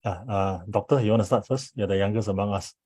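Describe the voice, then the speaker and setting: A man's voice: fairly low pitch, fast, darkish tone, slightly rough, no room sound. A man speaking casually as a panelist, handing the floor around to his fellow speakers.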